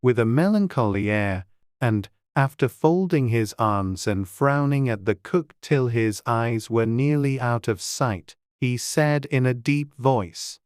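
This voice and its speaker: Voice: medium pitch, takes it slowly, a little mellow, clear, resonant tone, no echo. Dry, close storybook narrator. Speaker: audiobook narrator. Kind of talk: scripted storybook narration with character voices.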